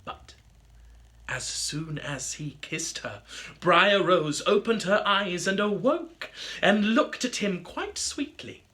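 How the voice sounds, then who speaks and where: A man reading a scripted fairy tale aloud as a storybook narrator, with an expressive, theatrical delivery. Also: high-pitched, slow pace. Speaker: storybook reader.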